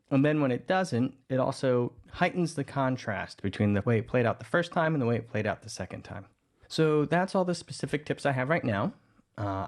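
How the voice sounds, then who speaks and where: A man narrating a tutorial on music editing in a relaxed, explanatory way. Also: medium pitch. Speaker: audio drama producer teaching music editing. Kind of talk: tutorial voice-over.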